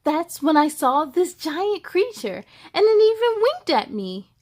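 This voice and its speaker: A woman's voice: very high-pitched, very animated, unhurried, darkish tone. A woman reading a children's picture book aloud expressively, with casual wondering asides for young listeners.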